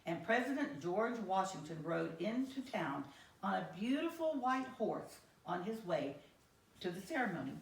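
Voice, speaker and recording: An American woman telling a story to young children in a simple, deliberate teacherly way, with questions to her listeners. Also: medium pitch, sing-song, gravelly. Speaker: children's teacher reading aloud. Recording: roomy echo, distant microphone.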